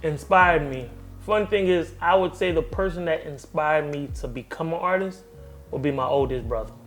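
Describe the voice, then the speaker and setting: A man with a Haitian-influenced accent, a tattoo artist talking casually as an interview guest, relaxed, with street slang and easy laughs. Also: a little high, normal speed, faint music behind. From second-generation Haitian family. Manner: laid-back street slang, laughs easily.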